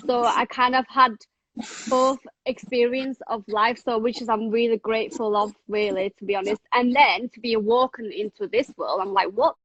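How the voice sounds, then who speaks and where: A woman's voice: on the high side, steady tone, moderate pace, a bit bright, highs cut off. A woman from Thailand now living in England, chatting casually on a video call with many fillers and the odd swear word. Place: home in England.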